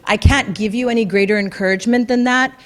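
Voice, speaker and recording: A woman's voice: fairly high pitch, fairly even intonation, fast. A woman giving an earnest, conversational talk about her own experience at a community event, with fillers and restarts. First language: English. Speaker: speaker at a community talk night. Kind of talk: personal story talk. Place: event venue before an audience.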